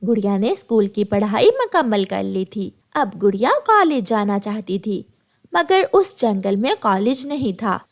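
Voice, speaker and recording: A woman's voice: mid-range voice, sing-song, unhurried. A woman narrating a scripted moral story for children as a storyteller.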